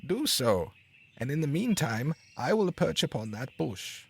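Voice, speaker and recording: A man voicing a small, bold female sparrow, high and strained, drawing out slow, fierce threats. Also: big pitch swings, vocal fry, breathy edge, exaggerated voice. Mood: friendly.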